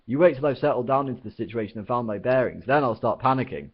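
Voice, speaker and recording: A man's voice: medium pitch, animated, fairly quick, gravelly, breathy edge, muffled recording, voice pushed up. A man voicing an indignant, panicky Englishman who splutters in a raised voice. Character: panicky indignant Englishman, adult male, fussy.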